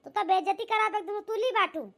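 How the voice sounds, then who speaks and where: A scolding, ungrateful cartoon daughter played by a woman, bossy and full of contempt. Her pitched-up voice is extremely high and piercingly bright. She snaps in an Awadhi accent. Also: brisk. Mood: scolding, dismissive. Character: Poonam, harsh, scolding, ungrateful grown daughter. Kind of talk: amateur cartoon comedy-drama acting.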